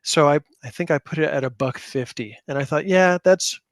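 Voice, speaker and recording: A man's voice: a little high, fairly quick, no echo, studio quality. A man speaking casually as a guest in a podcast interview about his own work, with a self-deprecating manner. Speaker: podcast guest, tabletop game designer.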